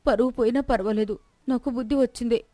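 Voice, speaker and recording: కథకురాలు నటించే హెచ్చు స్వరపు పెత్తనం చేసే అత్తగారు. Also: female voice, fairly high pitch, steady tone, quick pace, warm tone, no echo, natural voice. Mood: remorseful. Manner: bossy. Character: domineering, proud older mother-in-law of the house.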